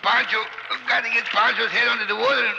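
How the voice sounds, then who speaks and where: A man's voice: very high-pitched, steady tone, quick pace, gravelly, muffled recording, heavily acted. A man playing a big, timid, comic Mexican sidekick in an old radio western, speaking broken English and echoing his partner's words. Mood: flustered, struggling. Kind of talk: 1940s-50s radio western acting. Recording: noisy old radio recording, long hollow reverb.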